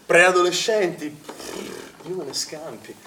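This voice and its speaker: A man's voice: sits high, lively intonation, a little slow, bright, forceful tone, heavily acted. An actor playing a vain, cocky young male swimming instructor in a stage play, bantering and insistent. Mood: cocky, teasing. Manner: bantering, self-satisfied, insistent. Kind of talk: naturalistic stage acting in a theatre play. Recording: echoey, noisy theatre recording from far off.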